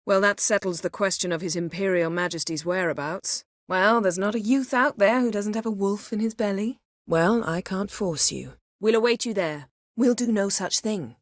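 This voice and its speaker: An actress playing a proud, sharp-tongued sorceress in a fantasy video game, speaking in a haughty, sardonic, clipped and articulate way. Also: female voice, medium pitch, moderate pace, hardly any pauses, dry recording, clean studio sound, lightly acted. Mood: cool, composed.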